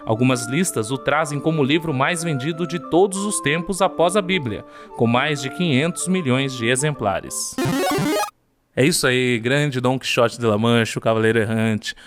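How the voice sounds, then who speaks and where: A man's voice: mid-range voice, moderate pace, music in the background. A man hosting a casual interview chat, agreeing often and asking follow-up questions. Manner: conversational, affirming, asks follow-up questions. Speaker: host.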